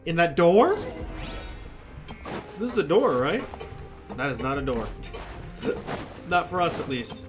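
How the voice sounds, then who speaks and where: A streamer giving casual let's-play commentary, playful and slangy, reacting out loud. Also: mid-range voice, very animated, slow, pauses often. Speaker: gameplay streamer. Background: game music.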